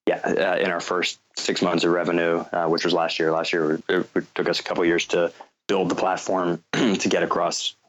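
An American man answering interview questions and explaining a business, often pausing and repeating words as he picks his phrasing. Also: sits low, flat delivery, a bit bright, lo-fi sound. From North Carolina, United States. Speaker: guest, fintech company founder.

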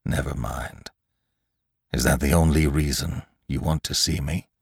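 Sinister guarded man, acted by a male reader: low pitch, terse dry replies, close and dry sound, story narration. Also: steady tone, a little slow, vocal fry, breathy edge, no room sound, exaggerated voice. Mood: suspicious, curt. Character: cold, wary, secretly murderous man.

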